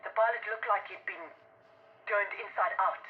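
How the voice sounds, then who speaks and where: A man's voice: high-pitched, normal speed. Phone-filtered bitter male insider. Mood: haunted. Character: bitter male weapons project insider, cornered and scheming. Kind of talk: sci-fi game audio log acting. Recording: thin phone-like band, faint noise.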